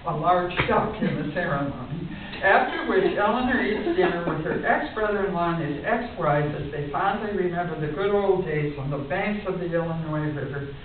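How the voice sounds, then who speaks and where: An older woman reads her poetry live at a lectern, with informal spoken asides in an expressive, wryly humorous manner. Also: fairly low pitch, moderate pace. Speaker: poet reading her work to a conference audience. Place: indoor conference room, at a lectern. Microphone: distant camera in the audience. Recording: distant, echoey, muffled narrow-band with hiss.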